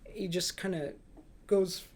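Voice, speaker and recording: A man's voice: high voice, steady tone, takes it slowly, darkish tone. A young man talking casually as a podcast host about films, in an informal conversational style. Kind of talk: casual movie chat. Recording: clear background room noise.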